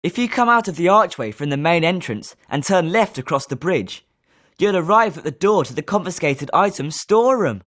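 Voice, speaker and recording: A man's voice: fairly high pitch, expressive, normal speed, fairly bright tone. A male actor playing a smug, rivalrous schoolboy at a wizard school in scripted video game lines. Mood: informative.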